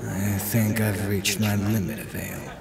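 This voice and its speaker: A man's voice: lowish voice, level tone, measured pace, gravelly, a crowd in the background. A man playing a weary, drunken, grieving sorcerer in a fantasy audiobook, muttering and grumbling to himself. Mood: weary, irritated. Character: weary, drunken, grief-stricken male sorcerer and former scholar. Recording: music playing behind.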